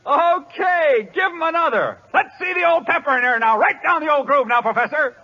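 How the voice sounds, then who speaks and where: An actor playing a chattering, boisterous young baseball catcher with slangy talk, in 1940s radio style. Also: male voice, very high voice, expressive, moderate pace, background noise. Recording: dull old radio broadcast.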